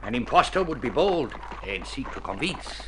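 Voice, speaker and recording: A man playing a grave, dignified older Spanish father in a radio drama, speaking formally and with measured care. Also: medium pitch, very animated, natural voice. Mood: earnest, yearning. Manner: formal, measured, stilted phrasing. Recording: noisy old radio drama recording.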